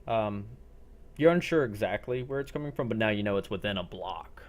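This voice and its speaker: A man acting as game master, narrating a role-play scene in a halting, descriptive way and putting questions to players. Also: on the low side, expressive. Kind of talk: improvised narration of a tabletop-style zombie role-play.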